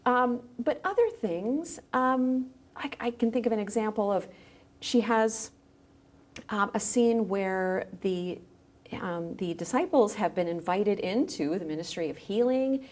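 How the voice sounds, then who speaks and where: Woman, mid-pitched voice, conversational podcast guest, thoughtful and halting. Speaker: podcast guest, journal editor. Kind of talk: casual discussion.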